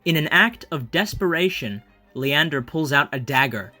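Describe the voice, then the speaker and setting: Male narrator reading stage directions, slightly high register, faint music underneath. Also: lively intonation, moderate pace. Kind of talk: scripted narration of a play.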